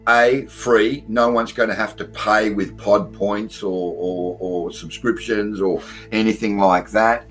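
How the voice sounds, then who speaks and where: Male call-in radio host, low voice, chatty and filler-heavy, faint music under him. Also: fairly bright tone, poor audio. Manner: loose and chatty, many fillers, greets listeners by name. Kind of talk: casual call-in radio hosting. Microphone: computer mic at a desktop.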